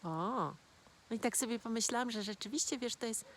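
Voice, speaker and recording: A woman gently telling a children's fairy tale as a storyteller. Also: fairly high pitch, steady tone, fairly quick, a little hiss or hum.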